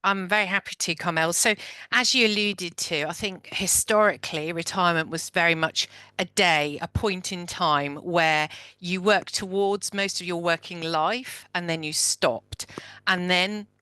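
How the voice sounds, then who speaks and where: A woman's voice: lowish voice, fairly even intonation, a little slow, sharp tone, clear, resonant tone, dry recording. A woman author speaking thoughtfully as a guest on an online panel.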